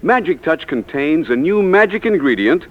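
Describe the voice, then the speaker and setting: An American man delivering a polished, emphatic scripted sales pitch as a 1940s radio announcer. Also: very animated, normal speed. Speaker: commercial announcer. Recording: tinny, narrow 1940s radio recording.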